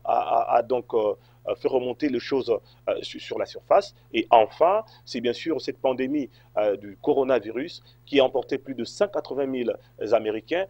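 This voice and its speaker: A man's voice: mid-range voice, darkish tone, dry recording, dull audio. A man from Congo answering interview questions, hesitant, with many fillers and restarts. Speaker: interview guest.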